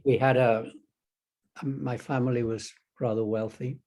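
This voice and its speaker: Older Chilean-accented man, Zen teacher guest; unhurried, rambling answers full of restarts. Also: mid-range voice, little pitch movement, a little mellow. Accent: Chilean Spanish. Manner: rambling, halting, many restarts and fillers. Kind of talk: casual interview conversation.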